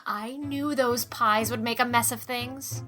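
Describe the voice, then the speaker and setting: A woman's voice: fairly high pitch, steady tone, measured pace, sharp tone, faint music behind. A woman playing a scandalized, dramatic older village busybody in an audiobook drama. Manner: dramatic, exclaiming.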